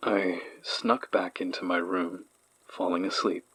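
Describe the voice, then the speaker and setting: A man acts out a haunted survivor of childhood horrors in a horror audio drama. His voice is low and husky, and he tells his story as a troubled first-person account. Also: fairly even intonation, unhurried, fairly bright tone, full character voice, rough recording. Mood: haunted, weary.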